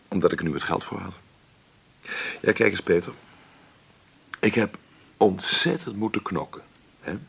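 An actor playing a wry, stubborn middle-aged film maker who talks haltingly and conversationally in a radio play interview. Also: male voice, low voice, gravelly, husky, exaggerated voice. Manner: conversational, halting, adds tag questions. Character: celebrated 53-year-old film maker, wry, stubborn, self-made. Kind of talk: radio drama played as an interview.

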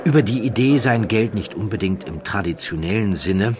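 A man narrating a scripted documentary story in a measured, dramatic way with deliberate pauses. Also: on the low side, lively intonation, a crowd in the background.

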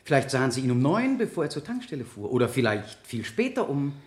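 A man's voice: mid-range voice, sing-song, fast talker, roomy sound, close to an everyday voice. An actor playing a skeptical, incisive male lawyer, probing and rhetorical in a radio courtroom drama. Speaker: lawyer cross-examining a witness. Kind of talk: 1970 German radio play acting.

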